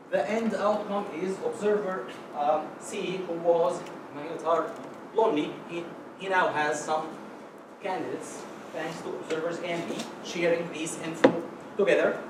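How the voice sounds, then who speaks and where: A man from Egypt giving a scientific conference talk, halting and full of fillers and restarts. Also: a little high, background noise. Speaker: conference speaker. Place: conference lecture hall. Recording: echoey lecture hall, mic at a distance.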